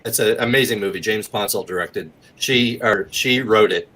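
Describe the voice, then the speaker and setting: A man's voice: lowish voice, normal speed, bright, forceful tone. A man talking as an actor guest in a casual podcast interview, with an informal, enthusiastic manner.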